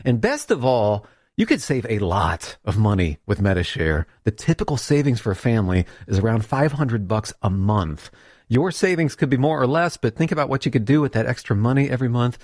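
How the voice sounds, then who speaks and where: A man's voice: mid-range voice, expressive, fairly quick, darkish tone, no room sound, clean studio sound. A man delivering a scripted radio advertisement in a conversational, direct-address style. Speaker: advertisement announcer.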